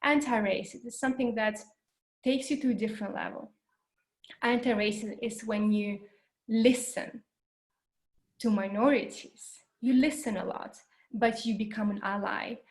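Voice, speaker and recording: A woman's voice: mid-range voice, fairly even intonation, a little slow, a little mellow. A woman who grew up in New Zealand, giving an online educational talk in an earnest way, with many fillers and repeated words. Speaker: workshop presenter. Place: home, Berlin.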